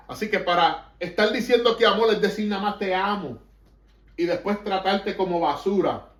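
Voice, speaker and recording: Fervent Puerto Rican preacher, echoey room. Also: male voice, high-pitched. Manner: fervent, emphatic preaching that slips between Spanish and English. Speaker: pastor. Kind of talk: preaching and praying to a congregation. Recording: echoey room, mic at a distance.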